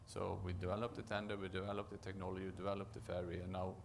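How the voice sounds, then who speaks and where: A man with a Norwegian accent giving a conference presentation as a project manager, often halting to restart and repeat words. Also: deep voice, little pitch movement, gravelly, a little hiss or hum. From Norway.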